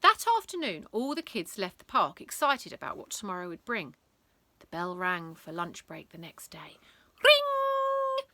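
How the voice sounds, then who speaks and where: Animated, slow-paced woman storyteller. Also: medium pitch, dry recording. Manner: theatrical, expressive, lingering on words. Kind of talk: animated bedtime story reading for children.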